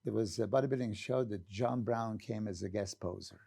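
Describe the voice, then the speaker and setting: A man's voice: lowish voice, normal speed, gravelly, warm tone, husky, no echo, studio quality. A Serbian man in his sixties with a Serbian accent, a former pro bodybuilder telling stories as a guest, earnest and full of hesitant fillers. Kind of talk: storytelling interview answer.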